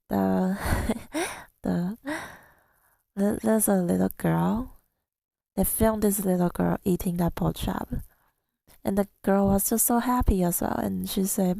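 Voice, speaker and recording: A woman telling a story in soft-spoken ASMR style, gentle and unhurried, slipping toward a breathy whisper, close to the microphone. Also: medium pitch, no echo. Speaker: ASMR audio creator.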